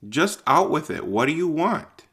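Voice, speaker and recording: A man's voice: fairly high pitch, sing-song, measured pace, fairly bright tone. A man performing first-person audio drama narration and dialogue in an informal, hesitant way, as if thinking aloud.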